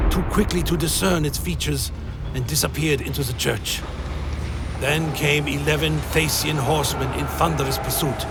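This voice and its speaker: An actor playing a tormented vampire who narrates a gothic horror radio play in a grave, literary manner. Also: male voice, fairly high pitch, gravelly. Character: tormented male vampire recounting his dark journey. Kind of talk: dramatic first-person gothic narration.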